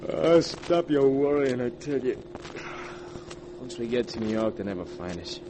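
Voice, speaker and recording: An actor playing a scheming tough-guy crook in a 1940s radio drama, gruff and bossy with streetwise slang. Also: medium pitch, animated, a little slow, background noise, lightly acted. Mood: cocky.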